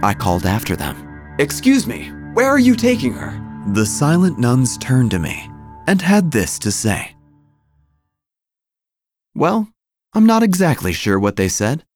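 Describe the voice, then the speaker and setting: A man's voice: fairly low pitch, dry recording. A man narrating scripted comic horror in a wry, theatrical manner.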